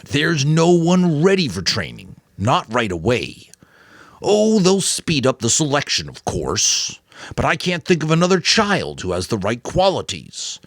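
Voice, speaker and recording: A man's voice: a little high, huge pitch swings, fairly bright tone, no echo, studio quality, pitched above the performer's own voice, exaggerated voice. A man voicing a weary but firm old mentor, grave, caring and earnest, in a dramatic audiobook reading. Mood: pressing. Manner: earnest, emphatic, deliberate.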